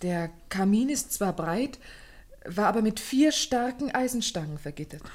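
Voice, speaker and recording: A woman acts out a well-bred young lady in a radio drama. Her medium-pitched voice is earnest and formal, carefully articulated, with an anxious undertone. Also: expressive, normal speed, darkish tone, close to an everyday voice. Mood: earnest, troubled. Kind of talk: German radio play acting.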